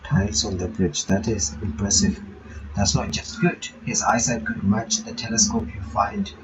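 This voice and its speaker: A man casually reads a visual novel aloud and chats about it, pausing and thinking aloud. He sounds set back from a dull, low-fidelity mic, and steady noise runs underneath. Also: far from the mic. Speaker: game reaction streamer.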